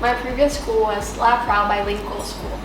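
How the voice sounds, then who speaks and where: A woman's voice: a little high, fairly even intonation, moderate pace, noisy background. A teenage female student, a native Thai speaker, talking about school in a semi-prepared discussion. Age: teenager, high school student. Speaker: student panelist. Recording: echoey room, distant mic, music behind.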